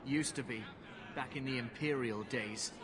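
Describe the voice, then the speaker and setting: A gruff male gate guard voiced by an actor in a fantasy game talks with curt contempt at a middling pitch. A noisy crowd surrounds him. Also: measured pace, lightly acted. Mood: matter-of-fact. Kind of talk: fantasy video game acting. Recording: noisy outdoor crowd ambience.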